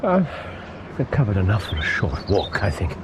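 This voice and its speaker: An actor playing an eccentric, proud English gentleman detective in a radio drama, formal and halting in his speech. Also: on the low side, wildly swooping pitch, noisy background. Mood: wry, recovering. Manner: formal, theatrical.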